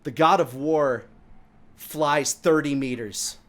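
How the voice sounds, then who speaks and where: A man's voice: fairly high pitch, takes it slowly, a bit bright. Theatrical man narrating as dungeon master. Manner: theatrical, with acted sound effects. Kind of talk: dramatic tabletop game narration.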